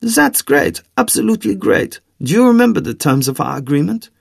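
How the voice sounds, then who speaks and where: A man voicing a tall, sly villain in an audiobook, with a soft German accent and a coaxing, theatrical, deliberately courteous delivery. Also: sits high, sing-song, gravelly, dry recording, clean studio sound, voice pushed up, full character voice. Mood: smooth, coaxing.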